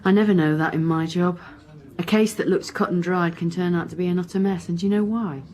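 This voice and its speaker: A woman playing a guarded, firm police inspector in a naturalistic radio drama, speaking in a measured, dry way. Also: on the low side, steady tone, darkish tone, lightly acted. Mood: wry. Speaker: actor playing a police detective.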